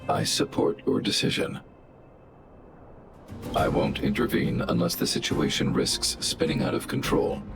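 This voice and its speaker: A man voicing a huge, stoic robot guardian in a video game, gravelly, measured and formal, protective and logical. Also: music in the background, lightly acted. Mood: stern, protective.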